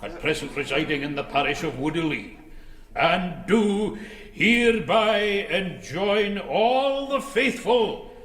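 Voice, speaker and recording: Radio drama cleric: high-pitched, slow, solemn pronouncer of judgment, long reverberation. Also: male voice, a bit bright, heavily acted. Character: stern, formal church official pronouncing judgment. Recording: echoing hall, long reverb.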